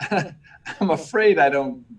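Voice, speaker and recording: An actor playing a meek, earnest young newspaper reporter in a 1940s-style radio serial, hesitant and polite, recorded at a distance. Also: male voice, a little high, very slow. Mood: bashful, puzzled. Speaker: actor in a live radio play. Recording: distant, far from the mic.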